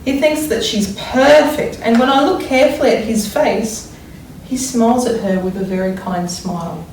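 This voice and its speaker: A woman teacher reading a storybook aloud to young children, expressively and deliberately, pausing to wonder aloud about it. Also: a little high, darkish tone. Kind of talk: expressive children's read-aloud with think-aloud explanations. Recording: echoey room, distant mic, faint noise.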